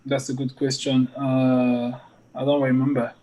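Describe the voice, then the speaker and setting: A man's voice: mid-range voice, monotone, a little slow, mellow, a little hiss or hum, rough recording. A man from France with a French accent, a soccer coach giving short, polite answers in an interview.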